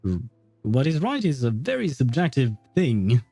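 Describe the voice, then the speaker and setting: A man's voice: mid-range voice, wildly swooping pitch, moderate pace, mellow, slightly rough, no room sound. A man livestreaming a game, explaining and commenting in a casual, informal, opinionated way. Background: game music.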